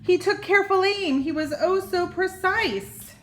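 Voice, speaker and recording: A woman's voice: very high voice, measured pace, a little hiss or hum. An older woman, a grandmother, reading a children's story expressively with small asides, in an echoey room. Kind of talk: children's storybook read-aloud.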